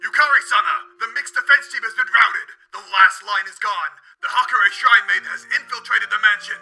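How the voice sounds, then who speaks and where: A man voicing a frantic servant messenger in an amateur comic dub, shrill and urgent. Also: a little fast, sharp tone, husky, faint music behind, exaggerated voice, rough recording. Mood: panicked alarm.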